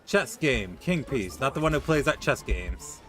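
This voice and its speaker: A man's voice: mid-range voice, sing-song, moderate pace, a bit bright, faint music behind. A man giving casual gameplay commentary as he streams a video game.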